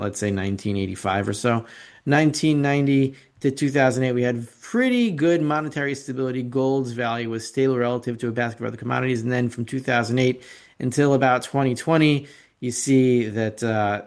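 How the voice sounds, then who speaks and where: Man, investing show host, casual commentary, opinionated, rambling, sarcastic. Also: mid-range voice. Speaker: host of an investing video report. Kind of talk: casual market commentary with slides.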